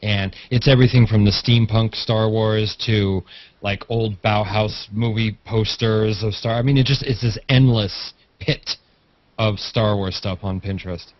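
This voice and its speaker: A man's voice: fairly low pitch, steady tone, unhurried. Jokey man on a muffled video call. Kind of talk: casual group chat. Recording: dull lo-fi video call audio.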